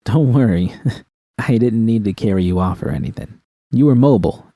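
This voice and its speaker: Man voicing a coaxing, seductive woman, very mellow. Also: fairly low pitch, normal speed, no echo, studio quality. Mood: reassuring. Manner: coaxing, purring. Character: teasing, reassuring, seductive woman friend.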